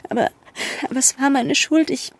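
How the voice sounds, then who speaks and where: A young undercover policewoman with a high, husky, breathy voice. She is defensive and guilt-ridden, stumbling over her words as if cornered in a naturalistic audio drama. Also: fairly even intonation, exaggerated voice. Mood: guilt-ridden, distraught. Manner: halting, stammering under pressure. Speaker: actor in a fiction podcast.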